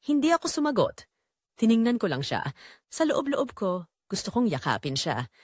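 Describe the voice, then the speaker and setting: Lively, brooding confessional storyteller voiced by a woman. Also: fairly high pitch, moderate pace, slightly rough, no room sound, studio quality. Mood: torn, melancholy. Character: Jomar, brooding, guilt-torn man confessing a forbidden romance. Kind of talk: scripted first-person dramatic narration.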